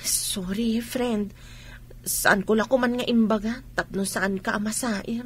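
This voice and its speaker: A high, husky supportive friend. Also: female voice, steady tone, measured pace. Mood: sympathetic. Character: concerned, supportive female friend and village teacher. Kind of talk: Ilocano radio drama acting.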